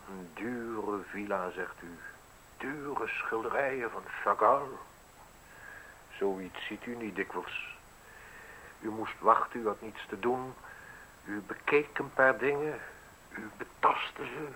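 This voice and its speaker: An actor playing a self-assured, mocking man in his thirties in a radio drama, speaking deliberately with ironic, theatrical pauses. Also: lowish voice, bright, forceful tone, slight background noise, heavily acted, rough recording. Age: 30s. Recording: husky-sounding radio play recording.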